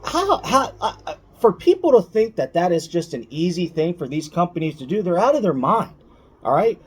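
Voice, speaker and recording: A man's voice: sits high, sing-song, normal speed, slightly rough. A man giving casual, opinionated finance commentary for online viewers, speaking emphatically, repeating key points and addressing the listener directly.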